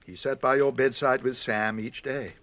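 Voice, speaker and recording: A man's voice: mid-range voice, steady tone, moderate pace, a bit bright, lightly acted. A man acting a wise old wizard, stately, kindly and commanding, in a radio drama. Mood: kindly firm.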